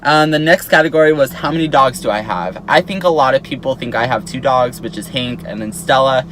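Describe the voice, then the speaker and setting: An eighteen-year-old guy talks straight to his followers in a chatty vlog, his voice sitting at an ordinary middle pitch. He fills his sentences with "like" and "um", and a faint hum of background noise runs underneath. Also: brisk, a bit bright, low-quality recording. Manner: chatty, peppered with "like" and "um". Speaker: YouTuber. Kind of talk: casual vlog chat to camera.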